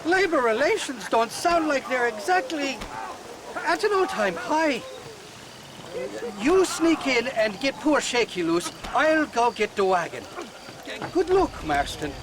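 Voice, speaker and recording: High, lively Irish scoundrel. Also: male voice, a little slow, full character voice. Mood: sly, wry. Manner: teasing, sardonic. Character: mocking, sly Irish scoundrel in the Old West. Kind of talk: video game cutscene acting. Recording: noisy outdoor ambience with animals.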